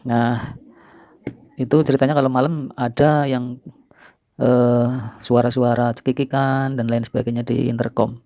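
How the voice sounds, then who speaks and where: A middle-aged man from Indonesia hosting a video, reminiscing to viewers in an informal, unhurried, explanatory way. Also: mid-range voice, little pitch movement, vocal fry, warm tone, no room sound, studio quality. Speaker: video host and storyteller.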